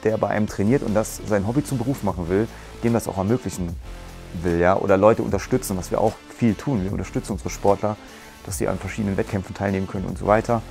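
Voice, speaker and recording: A man in his forties, a martial arts school owner, earnestly giving a testimonial on a lapel mic in a bright room. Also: lowish voice, gravelly. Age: 40s. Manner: earnest, explains at length with restarts. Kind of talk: testimonial interview. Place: bright room with curtains, standing.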